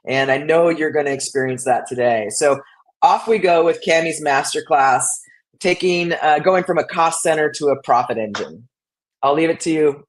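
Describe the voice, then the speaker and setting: A man hosting a webinar, introducing the speaker in an upbeat, slightly halting way. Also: on the high side, poor audio. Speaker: webinar host. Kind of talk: introducing a presentation.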